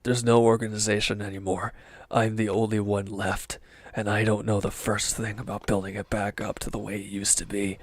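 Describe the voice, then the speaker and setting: A man playing a wounded, defeated villain's henchman in an intimate audio roleplay, bitter, defensive and despondent, halting and sometimes dropping to a near-whisper. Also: on the low side, level tone, no echo, lightly acted. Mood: despondent, weary.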